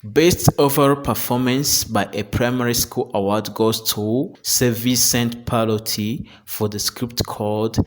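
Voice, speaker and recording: A man's voice: mid-range voice, steady tone, a little slow, hardly any pauses, a little hiss or hum. A man reading a scripted news report as its narrator.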